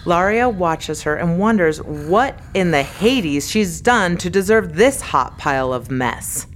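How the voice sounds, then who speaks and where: A woman's voice: on the low side, animated, slow pace, a little hiss or hum. A woman giving scripted dramatic narration as the narrator of a radio play.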